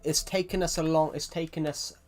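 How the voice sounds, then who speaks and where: Rambling streamer with Southwest English accent. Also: male voice, fairly high pitch, fairly even intonation, brisk, a little mellow. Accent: Southwest England. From England. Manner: rambling, restarts a lot, reads chat aloud. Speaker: game streamer. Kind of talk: casual live-stream chat. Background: faint music and keyboard typing.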